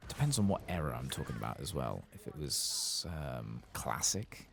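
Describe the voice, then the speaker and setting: A man with an extremely low, gravelly voice speaks softly over a video game in an ASMR style. His pitch wanders up and down as he muses to himself, pausing to think between unhurried remarks. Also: very animated, background noise. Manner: musing to himself, hesitant, unhurried asides. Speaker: ASMR gaming streamer narrating his play. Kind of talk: soft-spoken ASMR game commentary, reading quest text aloud.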